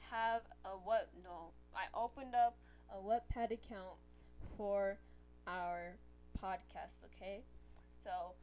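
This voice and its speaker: A young woman hosting a podcast in casual chat, rambling and correcting herself, a little hoarse from losing her voice. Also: medium pitch, a little mellow, lo-fi sound, slight background noise. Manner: rambling, self-correcting, exasperated ranting. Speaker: podcast host.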